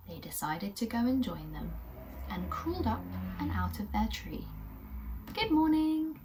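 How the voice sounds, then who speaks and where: A woman's voice: mid-range voice, sing-song, moderate pace, noisy background. A British woman presenting and narrating a children's story in a friendly, encouraging way. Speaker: children's puppet show host and storyteller.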